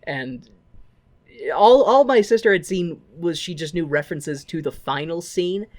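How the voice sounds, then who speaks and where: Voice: mid-range voice, animated, measured pace, a little mellow. A podcast co-host giving a casual, comedic movie recap, irreverent and sweary, with jokey asides.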